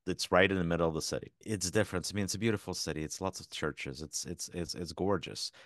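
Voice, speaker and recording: Very deep-voiced man raised in Ukraine. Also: fast, no room sound, studio quality. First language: Russian. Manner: explanatory, often adds tag questions. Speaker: podcast guest. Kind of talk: casual interview talk.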